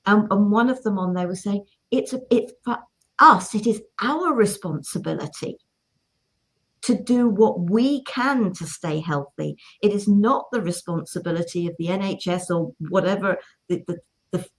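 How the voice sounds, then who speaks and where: A woman's voice: medium pitch, normal speed. A woman hosting a casual conversation, chatty and emphatic, often repeating herself to make her point. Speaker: host. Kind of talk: casual chat.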